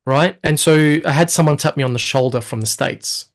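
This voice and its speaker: A man's voice: a little high, moderate pace. A man, a guest telling his story in a casual interview, acting out his own past thoughts. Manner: animated storyteller, quotes himself in lively asides.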